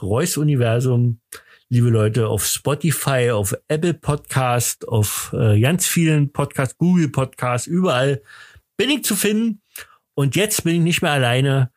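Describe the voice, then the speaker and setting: A man hosts a podcast in an ordinary mid-pitched voice. He rambles casually and haltingly, with a mock self-important streak. The sound is close and dry. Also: darkish tone, dry recording. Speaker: podcast host.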